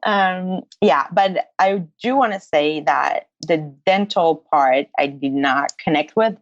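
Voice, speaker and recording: Guest voice: young Israeli-raised woman, earnest and chatty, low with vocal fry, dry dull lo-fi sound. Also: no room sound. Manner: earnest, many fillers, frequent laughter. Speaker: guest, incoming medical student. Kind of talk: casual interview answers.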